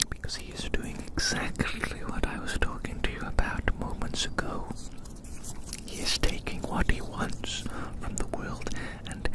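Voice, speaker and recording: An ASMR performer whispering a rambling, theatrical roleplay monologue outdoors, softly repeating phrases in wordy language. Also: rough recording. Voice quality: soft unvoiced whisper. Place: outdoors in a large open field.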